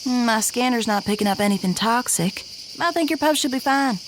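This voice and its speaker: A woman's voice: on the high side, animated, moderate pace, heavy background noise, lightly acted. A woman playing an easygoing, daring space crew scout in an audio drama, with a casual, teasing manner. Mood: breezy. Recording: clear background ambience of jungle insects.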